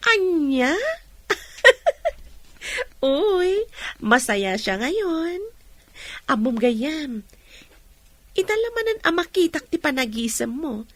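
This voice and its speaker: A woman's voice: sits high, very animated, extremely slow, pauses often, dry recording, full character voice. A Filipino woman acting as a kindly, attentive village woman in a radio drama. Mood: fond, teasing.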